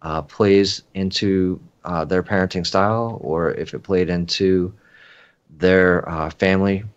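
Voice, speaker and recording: A man's voice: deep voice, steady tone, unhurried, clean tone, muffled recording. A man from the Philadelphia area, a podcast guest speaking in an easygoing, earnest way with many fillers. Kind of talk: casual chat.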